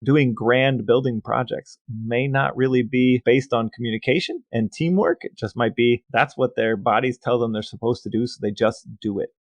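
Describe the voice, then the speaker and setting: A man's voice: medium pitch, moderate pace, few pauses, no room sound, muffled recording. A man co-hosting a casual podcast, explaining facts and figures at length with some banter. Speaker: podcast co-host.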